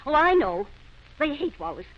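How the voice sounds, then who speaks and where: An actress playing a proud, defensive small-town wife, dramatic and scornful in old radio drama style. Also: female voice, high voice, big pitch swings, normal speed. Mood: scornful, bitter. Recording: muffled old radio recording.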